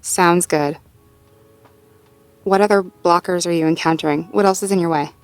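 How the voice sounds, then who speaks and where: Girlfriend role, female performer: lowish voice, supportive and playful, naturalistic intimate roleplay delivery, soft music behind. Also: fairly even intonation, moderate pace, no room sound, lightly acted. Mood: encouraging. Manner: gentle, encouraging, lightly teasing, natural hesitations and chuckles. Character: supportive, playful girlfriend helping partner problem-solve. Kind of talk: intimate roleplay audio, one-sided conversation.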